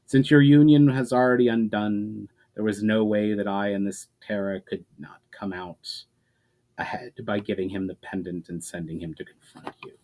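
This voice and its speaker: A powerful, menacing man from a fantasy audiobook, acted out by a male reader. He is coolly patient and condescending, and he delivers his threats in measured, formal phrases. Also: lowish voice, steady tone, dark tone, close to an everyday voice. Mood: conceding. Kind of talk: dramatic fantasy audiobook reading.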